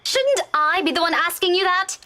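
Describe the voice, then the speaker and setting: Exasperated young heroine for a visual novel, high, bright and very animated. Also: female voice, normal speed, full character voice. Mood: accusing. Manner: accusing, exasperated. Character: indignant, sharp-tongued young woman. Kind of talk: video game drama acting.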